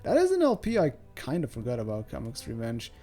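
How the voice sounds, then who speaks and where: A male streamer from Switzerland chats casually and ramblingly as he plays. His voice is mellow and moves up and down a lot, over faint game music. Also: mid-range voice, huge pitch swings, a little fast. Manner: rambling, chatty, jokey swearing. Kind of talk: casual livestream commentary. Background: soft game music.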